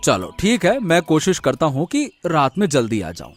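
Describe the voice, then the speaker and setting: A kindly young village father in a cartoon, played by a man. His voice is fairly high and swings about expressively, easygoing and affectionate in manner. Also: wildly swooping pitch, normal speed, no echo, faint music behind. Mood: gentle, dutiful. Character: kind, doting village husband and young father. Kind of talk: Hindi cartoon moral story acting.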